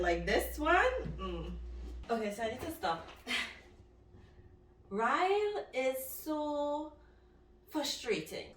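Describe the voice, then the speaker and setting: A woman giving casual, expressive book reactions as a vlogger, talking in an emphatic, conversational way. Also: mid-range voice. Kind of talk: casual reaction and review to camera. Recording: roomy echo, distant microphone.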